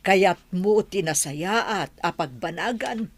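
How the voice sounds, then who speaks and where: An excitable middle-aged mother in an Ilocano radio drama. Her fairly low voice swings widely, pushy and emphatic, with a Filipino accent. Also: takes it slowly, full character voice. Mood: insistent. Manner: emphatic, insistent.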